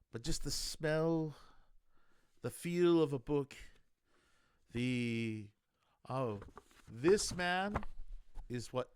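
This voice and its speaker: A man reading a novel aloud in a meandering, halting, conversational way, like an amateur audiobook reader. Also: medium pitch, a little hiss or hum. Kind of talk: casual chat, then reading a novel aloud.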